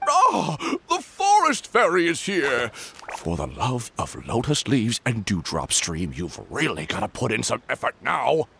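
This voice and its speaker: A man playing an excitable, demanding frog bandleader in a video game, with theatrical, exclamatory delivery. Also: medium pitch, unhurried, gravelly, a little hiss or hum, full character voice. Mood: excited, then exasperated. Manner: theatrical, exclamatory, dramatic swings.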